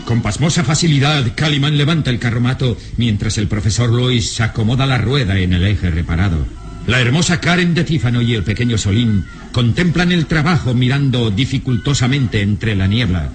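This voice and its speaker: A man's voice: mid-range voice, moderate pace, close to an everyday voice. A man narrating an adventure radio drama as a solemn storyteller, in a dramatic scripted style. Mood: solemn, suspenseful.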